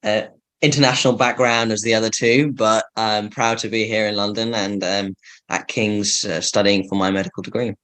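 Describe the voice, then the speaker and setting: A young man speaks as a podcast guest in a lowish voice. He is earnest and measured and talks plainly. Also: level tone, a bit bright. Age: young adult. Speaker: guest, medical student. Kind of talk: casual interview chat.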